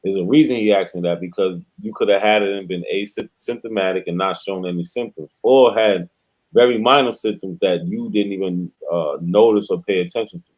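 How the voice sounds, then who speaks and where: A man co-hosting a stream discussion, calmly explaining a point in casual slang as mediator. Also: low voice, fairly quick, rough recording. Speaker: co-host acting as mediator.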